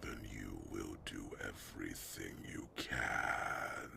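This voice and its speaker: A man voicing a wise, grave, fatherly old dragon in a slow, deep, gravelly half-whisper for an ASMR fantasy roleplay. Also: steady tone, very noisy, exaggerated voice. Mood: gentle, wise. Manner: slow, deliberate, murmuring pauses.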